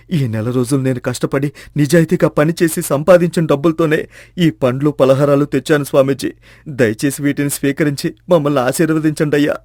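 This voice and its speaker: A man's voice: on the high side, darkish tone, dry recording, studio quality, natural voice. A man voicing a humble reformed thief and devoted husband in a storybook tale, with an earnest, respectful, emotive delivery. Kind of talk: scripted moral-story dramatization.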